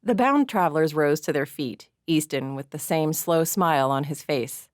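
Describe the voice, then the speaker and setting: Measured woman narrating a classic story. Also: low voice, dry recording, clean studio sound. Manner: measured, expressive reading.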